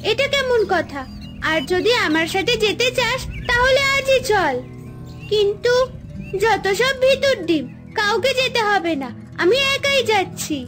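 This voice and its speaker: A woman's voice: extremely high pitch, lively intonation, unhurried, music in the background. A woman voicing young girls on a forest adventure in a cartoon fairy tale, bickering and dramatic.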